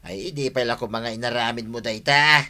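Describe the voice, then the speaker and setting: Bright-voiced, eager village neighbour. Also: male voice, medium pitch, fairly even intonation, moderate pace. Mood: curious. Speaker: radio drama actor. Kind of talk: Ilocano radio drama acting.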